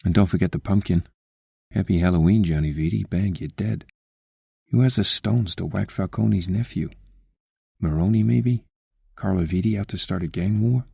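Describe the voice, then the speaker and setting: An actor playing a brash, sardonic district attorney with a gravelly, husky voice in an audio drama. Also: male voice, low voice, moderate pace, warm tone, no room sound, clean studio sound, exaggerated voice. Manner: sardonic, cocky, informal. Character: brash, sardonic male district attorney, vengeful yet tender husband. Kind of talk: fan audio drama acting. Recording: music under the voice.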